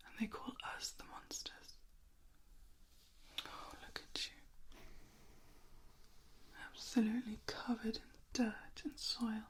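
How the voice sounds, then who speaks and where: A woman playing an ancient, protective female vampire in an ASMR roleplay, in a soft, breathy whisper with formal, old-fashioned phrasing. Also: medium pitch. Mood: tender, soothing. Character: ancient, protective, softly disdainful female vampire tending a loved one.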